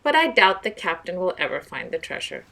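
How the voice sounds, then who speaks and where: A woman voicing a polite, modest older gentleman in a storybook, in a measured, genteel manner. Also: lowish voice, very animated, rough recording. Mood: mild, measured.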